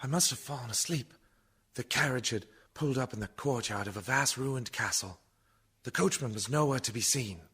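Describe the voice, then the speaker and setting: A man playing an earnest, uneasy young lawyer's clerk in a radio play, grave and dramatic, with a gravelly creak. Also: medium pitch, normal speed, bright, forceful tone. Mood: hushed dread. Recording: noisy background behind voice.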